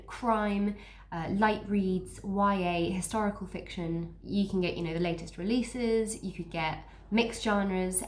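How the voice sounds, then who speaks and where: Female book vlogger, nasal from a cold, chatty and upbeat, mid pitch, roomy echo. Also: a little mellow. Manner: chatty, upbeat, restarts sentences. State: stuffy nose from a cold. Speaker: book vlogger unboxing a subscription box. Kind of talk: casual unboxing chat with read-aloud blurbs. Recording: echoey room with faint noise.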